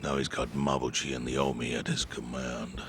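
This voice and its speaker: An actor playing a calm, knowing male informant who explains things in a measured way. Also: a bass voice, a little hiss or hum. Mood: grave.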